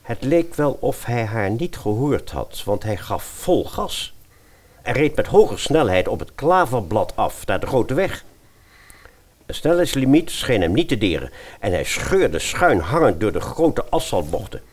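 A man narrating a scripted story in an expressive storytelling style. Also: mid-range voice, measured pace.

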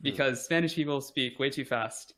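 A man's voice: mid-range voice, normal speed, bright, forceful tone. A young man from the United States in a casual interview, talking hesitantly with many fillers and self-deprecating asides. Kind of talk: casual conversation.

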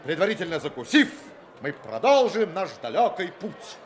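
A man's voice: sits high, sing-song, normal speed, heavy background noise. An actor playing a brash, sardonic male con man in a radio play, glib, grandiose and biting. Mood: pompous oratory. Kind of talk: Soviet radio play acting.

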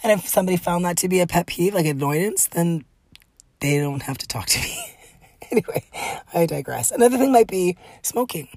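A middle-aged woman with a breathy, raspy voice, chatting through a solo podcast with lots of fillers and laughs. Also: lowish voice, lively intonation, a little fast, a little mellow, dry recording. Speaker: podcast host. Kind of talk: casual solo podcast monologue.

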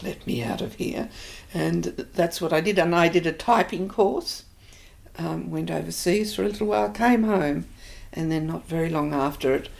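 Older Australian woman, low and gravelly. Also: a little mellow. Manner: reflective, hesitant with many fillers. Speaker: interviewee. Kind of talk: oral history interview.

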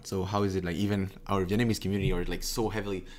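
Low-voiced hesitant young man. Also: little pitch movement, rapid-fire, a little mellow. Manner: hesitant, thoughtful, many restarts. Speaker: conversation partner. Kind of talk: casual discussion.

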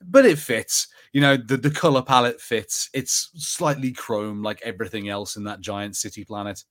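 A man's voice: mid-range voice, normal speed. An English man co-hosting a podcast, giving casual fan commentary with tentative, hesitant phrasing. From England. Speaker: podcast co-host reviewing a TV episode.

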